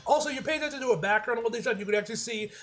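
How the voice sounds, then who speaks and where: A man giving a casual, rambling episode review as an excitable fan, with slangy, profane talk. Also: very high-pitched, very fast, soft background music. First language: English. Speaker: anime reviewer.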